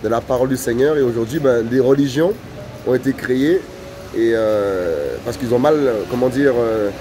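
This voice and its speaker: A man's voice: medium pitch, normal speed. A man interviewing as a street evangelist in a conversational, insistent way, often repeating himself. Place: outdoors on a city street. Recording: outdoor street noise.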